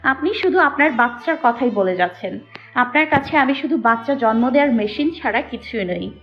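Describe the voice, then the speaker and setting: A woman's voice: fairly high pitch, animated, brisk. A woman playing a wronged, anguished and defiant young mother in an emotional romantic audio drama. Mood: hurt, reproachful. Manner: emotional, pleading and accusing delivery. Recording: muffled audio with music behind. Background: background music.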